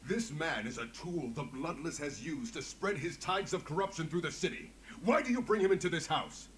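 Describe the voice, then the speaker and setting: A righteous, distrustful hothead in a fantasy video game. He is accusatory and indignant, his pitch rising and falling widely. Faint noise is behind the voice. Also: animated, normal speed, fairly bright tone. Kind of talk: scripted video game acting.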